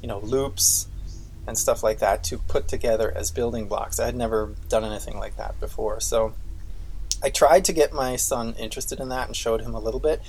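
A man's voice: medium pitch, steady tone, normal speed, creaky, darkish tone, slight background noise, low-quality recording. A man, co-hosting a podcast in casual chat, rambling with lots of fillers. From Austria. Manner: rambling, leans on "basically" and "um". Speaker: podcast co-host.

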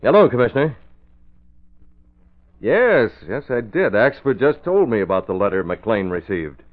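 An actor playing a calm, authoritative young newspaper publisher in an old-time radio drama. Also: male voice, mid-range voice, moderate pace, lightly acted. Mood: brisk, unworried. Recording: tinny band-limited old radio broadcast.

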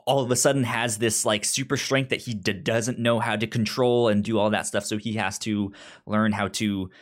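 A man's voice: lowish voice, fairly even intonation, moderate pace. A man hosting a podcast in a casual, chatty way, often stumbling over and repeating his words. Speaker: podcast host.

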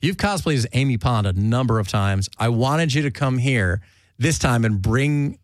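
A chatty man hosts a relaxed talk show, rambling along and restarting his sentences, with frequent laughs. The recording is dry, with no room echo. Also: medium pitch, moderate pace. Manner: rambling, self-interrupting, laughs often. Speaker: podcast host. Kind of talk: casual chat.

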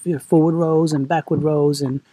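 A man, born in Venezuela, talking as a podcast guest in a soft-spoken, hesitant, reflective way. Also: fairly high pitch, little pitch movement, moderate pace, breathy edge, no room sound. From Venezuela, raised in London. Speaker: guest, movement coach. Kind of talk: podcast conversation.

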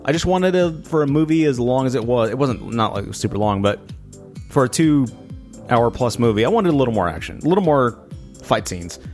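A man's voice: medium pitch, big pitch swings, fairly quick, darkish tone, faint music behind. A man chatting casually as he co-hosts a film review podcast. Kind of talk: casual chat.

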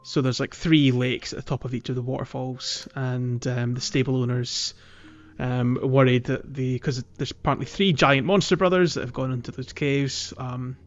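A man's voice: medium pitch, steady tone, moderate pace, highs cut off. A man co-hosting a gaming podcast in casual, conversational speech. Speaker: podcast co-host.

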